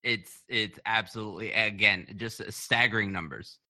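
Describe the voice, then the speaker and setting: Sharp-voiced man, Spanish his first language. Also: fairly low pitch, normal speed. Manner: laughs often, swears, stumbles over words. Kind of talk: casual chat.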